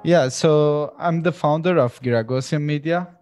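A man's voice: fairly high pitch, moderate pace, a little mellow, soft background music. A man in his twenties from Syria, a podcast guest talking earnestly in casual conversation, often saying "you know". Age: 20s.

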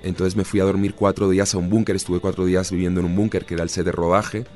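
Spanish-accented man, 30s, deep low register, relaxed talker who restarts often; faint music behind. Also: quick pace. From Spain. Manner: easygoing, chatty, with frequent restarts. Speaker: actor guest. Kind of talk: relaxed interview answers.

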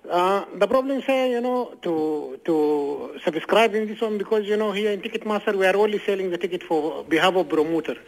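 A male ticket agent on a customer service phone call. His voice sits slightly high. He speaks non-native accented English in a polite, halting way, often repeating himself. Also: gravelly. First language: not English. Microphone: telephone line.

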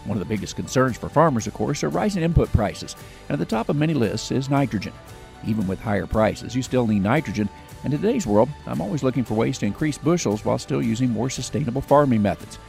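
A man hosting a farm radio program, asking questions in a measured, conversational way. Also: mid-range voice, lively intonation, gravelly, a little mellow, soft background music. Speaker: host of farm radio show. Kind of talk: radio interview.

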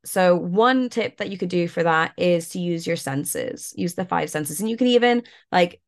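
A woman guest, a yoga and mindfulness coach, talking in a relaxed way with lots of fillers while stuffed up and a bit croaky. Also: lowish voice, normal speed. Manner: relaxed, many fillers like "like" and "you know". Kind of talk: casual interview talk, guiding an exercise.

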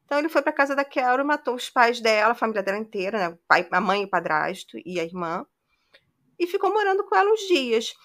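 Woman podcast host, bright tone, chatty crime storyteller. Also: fairly high pitch, big pitch swings, quick pace. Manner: conversational retelling with frequent "né".

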